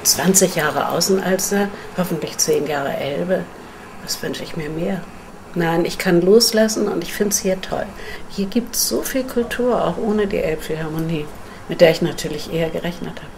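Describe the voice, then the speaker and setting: Creaky older woman reflecting. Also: fairly low pitch, moderate pace, darkish tone, a little hiss or hum, rough recording. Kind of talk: reflective personal talk.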